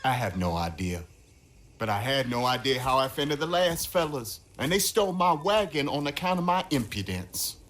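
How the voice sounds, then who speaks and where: An actor playing a timid, over-apologetic, formally polite frontier traveller in a video game. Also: male voice, mid-range voice, animated, moderate pace, fairly bright tone, close to an everyday voice. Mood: anxious, apologetic. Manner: meek, formal wording. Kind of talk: dramatic video game cutscene acting.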